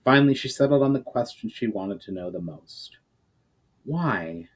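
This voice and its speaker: A man reading a fantasy novel aloud as a dramatic narrator, with expressive, theatrical phrasing. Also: mid-range voice, fairly quick. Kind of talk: dramatic audiobook-style narration.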